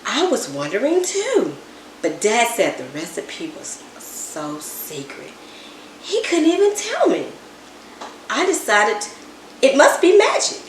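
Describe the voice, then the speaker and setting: A woman reading a children's story aloud in an expressive, dramatic way, with emphatic words and hushed asides. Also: a little high, takes it slowly. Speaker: storybook reader. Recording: roomy echo, distant mic, background noise.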